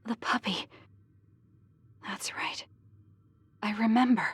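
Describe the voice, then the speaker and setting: An actress playing a guarded, blunt young woman soldier haunted by her memories, in a breathy voice for a video game dub. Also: a little high, slow, heavily acted. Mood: grieving, hushed.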